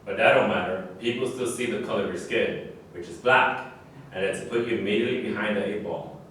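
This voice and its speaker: A blunt, moralizing man played by an actor with a lowish voice presses his points seriously. He sounds distant, in a very echoey room at a staged reading. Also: a little fast, fairly bright tone, a little hiss or hum, natural voice. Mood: insistent.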